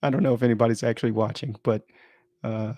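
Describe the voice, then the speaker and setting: A man's voice: medium pitch, fairly even intonation, fast talker, mellow, no room sound. A man chatting informally and thinking aloud as he runs an unplanned live stream, often interrupting himself in a rambling way.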